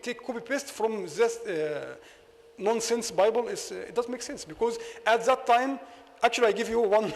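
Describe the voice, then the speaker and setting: A high-voiced man with an Egyptian accent lectures in accented English. He argues his case and keeps asking the listeners rhetorical questions. His voice rings with long reverb in a mosque hall. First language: Arabic. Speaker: imam.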